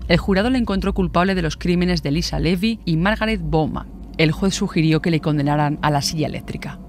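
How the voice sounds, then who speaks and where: A man's voice: a little high, a little mellow, slight background noise. A man narrating a scripted true-crime podcast.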